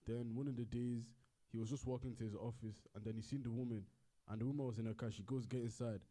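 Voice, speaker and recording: A man's voice: medium pitch, steady tone, fast, warm tone. A man casually retelling a story in a rambling, repetitive way and correcting himself as he goes. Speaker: co-host.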